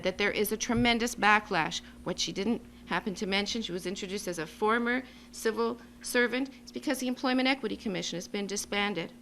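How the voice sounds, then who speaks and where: A woman gives a semi-improvised public speech as an advocate, making her points emphatically and deliberately in short phrases. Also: medium pitch, fairly bright tone. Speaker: advocacy group speaker at a symposium. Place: symposium venue. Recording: noisy live speech recording with hum.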